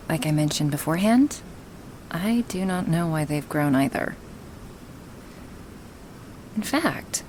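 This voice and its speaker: A woman voicing a gentle, affectionate dragon woman in an intimate ASMR roleplay, breathy and hesitant, often slipping into whispers. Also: low voice, slight background noise, rough recording. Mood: reassuring, shy. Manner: slow, hesitant, with sighs and pauses. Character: gentle dragon woman, awkward, affectionate toward her human. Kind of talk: ASMR roleplay, spoken softly and intimately to the listener.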